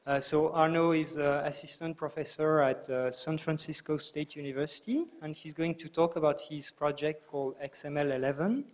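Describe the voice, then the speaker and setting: A man hosting a tech talk, giving an informal, halting introduction of a guest speaker. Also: on the high side.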